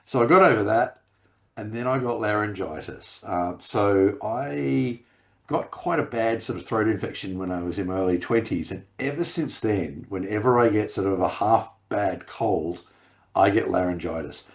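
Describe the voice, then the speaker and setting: A man co-hosting a casual chat podcast over a video call, his voice croaky from laryngitis, rambling with many restarts. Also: fairly low pitch, normal speed. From Australia. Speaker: podcast co-host.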